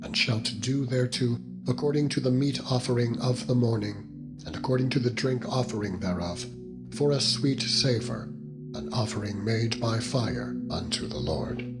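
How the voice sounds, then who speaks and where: A man narrating the Bible as a solemn, measured, formal scripted reading. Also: mid-range voice. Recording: loud music with ringing singing-bowl tones. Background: music and singing bowl tones.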